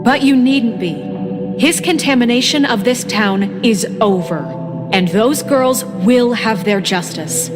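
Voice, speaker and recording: An earnest, composed leader voiced by a woman in a game, with a fairly high, measured voice that sounds persuasive. A very loud music score plays beneath her. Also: natural voice. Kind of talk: video game voice acting.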